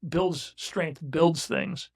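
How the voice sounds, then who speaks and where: A man in his sixties talks in a blunt, emphatic way as a guest on a casual interview show. His voice sits a little high for a man, and he restarts his sentences often as he pushes his point. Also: drawn-out words, creaky, a little mellow, breathy edge. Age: 60s. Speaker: guest, business owner.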